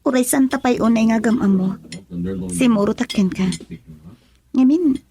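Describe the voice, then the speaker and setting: An actress with an Ilocano Filipino accent playing a frank, soft-hearted young woman in a radio drama. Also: a little high, normal speed, crowd noise, lightly acted. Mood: shy, frank.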